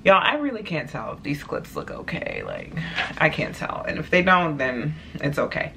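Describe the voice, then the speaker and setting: A young woman with a deep voice chatting casually about her clothing haul in her bedroom, playful and laid-back. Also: wildly swooping pitch, moderate pace, creaky, background noise. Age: young adult. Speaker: vlogger. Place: small bedroom, indoors. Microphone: camera at desk distance.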